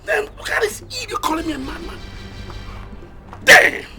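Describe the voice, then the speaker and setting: An actor with a Nigerian accent playing a hot-tempered village bully who shouts curses and threats. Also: male voice, very high-pitched, animated, normal speed, soft background music, heavily acted. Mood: raging. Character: hot-tempered self-proclaimed bad guy in a village, man. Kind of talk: film acting.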